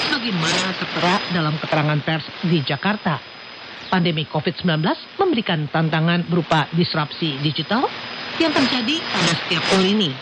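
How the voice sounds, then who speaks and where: Low, lively woman on muffled shortwave. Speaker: radio co-host and news reader. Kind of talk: scripted radio news reading. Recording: muffled, noisy shortwave radio broadcast.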